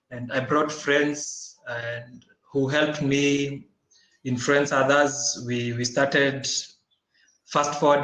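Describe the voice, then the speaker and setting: Flat-voiced man from Kenya, roomy sound. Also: medium pitch. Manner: halting, many restarts, formal wording. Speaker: panelist, social entrepreneur. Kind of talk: conference panel talk.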